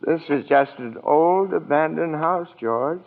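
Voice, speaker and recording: Elderly male angel, earnest and deferential, highish voice, vintage radio drama, narrow tinny sound. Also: a little slow, creaky, long reverb tail. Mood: gentle, grave. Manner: earnest, deferential, easily flustered. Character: kindly, fussy old male angel, gently earnest. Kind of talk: 1940s radio drama acting. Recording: tinny old radio broadcast, music behind.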